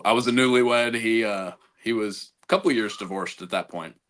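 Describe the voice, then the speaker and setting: Halting low-voiced veteran man. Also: a bit bright. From United States. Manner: halting, frequent restarts and repeated words. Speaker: podcast guest, Army veteran officer. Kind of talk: casual conversational interview.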